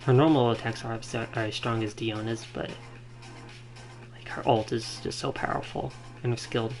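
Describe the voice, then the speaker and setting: A man streams a game with casual, rambling commentary. His voice is of middling pitch and he thinks aloud haltingly, with game music clearly playing behind him. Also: steady tone.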